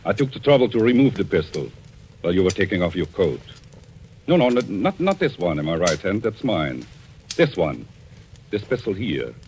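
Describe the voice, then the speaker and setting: An actor playing a vengeful, coolly controlled man in a 1940s radio suspense drama, speaking deliberately and theatrically. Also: sits low, big pitch swings, slight background noise. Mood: coolly menacing. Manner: deliberate, theatrical, quietly menacing. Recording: old radio recording with music.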